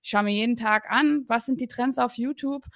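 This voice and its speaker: A woman's voice: a little high, fairly quick, fairly bright tone, dry recording, studio quality. A woman hosting a podcast interview remotely, upbeat and chatty with many fillers. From Berlin, Germany. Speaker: podcast host. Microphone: remote recording, separate room.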